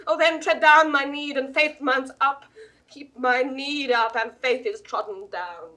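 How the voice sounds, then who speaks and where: A woman's voice: high voice, measured pace, sharp tone. An actress playing a fierce, bitter noblewoman, in intense, declamatory classical Shakespearean audio-drama style. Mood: desperate pleading.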